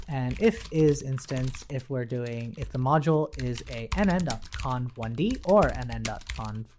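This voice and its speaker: A man's voice: medium pitch, a little mellow, a little hiss or hum. A man working as a coding instructor, casually explaining a tutorial and thinking aloud as he goes.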